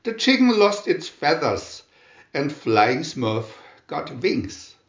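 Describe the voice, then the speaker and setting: A man reading a children's story aloud in a deliberate, expressive storytelling manner. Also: fairly high pitch, creaky, roomy sound, muffled recording. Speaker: storybook reader. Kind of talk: scripted children's story narration.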